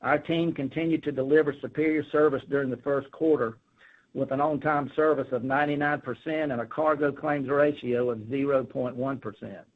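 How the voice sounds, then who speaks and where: A man, a company executive, reading a scripted earnings call presentation in a formal, businesslike way. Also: medium pitch. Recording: narrow, tinny phone line.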